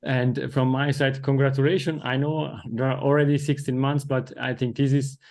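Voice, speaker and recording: A man's voice: mid-range voice, flat delivery, normal speed, vocal fry, darkish tone. A man with an Italian accent, a native Italian speaker, hosting a podcast interview in careful, formal English. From Italy. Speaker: podcast host.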